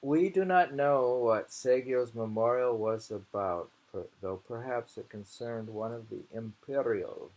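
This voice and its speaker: A man reading poetry slowly and commenting on it casually, in an unhurried, musing and informal manner. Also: medium pitch, a little mellow, rough recording. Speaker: poetry reader.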